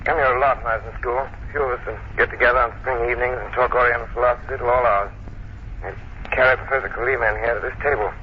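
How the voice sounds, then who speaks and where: Actor as a brisk, suave gentleman detective. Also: mid-range voice, fairly even intonation, lightly acted. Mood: musing. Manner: urbane, composed. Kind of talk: 1940s radio acting. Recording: dull, hissy old radio recording.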